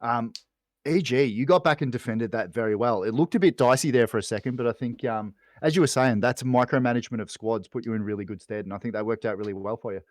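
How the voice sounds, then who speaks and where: A man's voice: medium pitch, fast, no echo. Casual Australian man, close dry sound. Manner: matey, informal, jokey. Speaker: guest co-commentator and streamer. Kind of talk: casual post-match esports interview chat.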